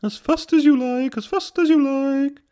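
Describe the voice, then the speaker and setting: A man's voice: extremely high pitch, fairly even intonation, moderate pace, dark tone, pitched above the performer's own voice. A man voicing a sweet, anxious female railway coach in a children's storybook. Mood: cheerful, singing.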